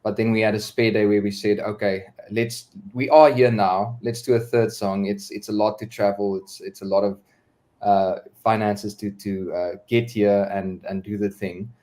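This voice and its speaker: A man's voice: lowish voice, fairly even intonation, normal speed, darkish tone. A man talking as a singer guest on a live-stream video call, earnest, with many fillers and repeated words. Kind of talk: casual live-stream chat.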